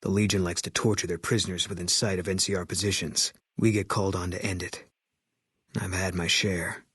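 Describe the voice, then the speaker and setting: Low, husky, grim sniper. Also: male voice, brisk, no room sound, studio quality, full character voice. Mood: brooding. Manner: terse, flat, clipped, brooding delivery. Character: grim, bitter male ex-army sniper, guarded and grieving widower. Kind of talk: video game voice acting.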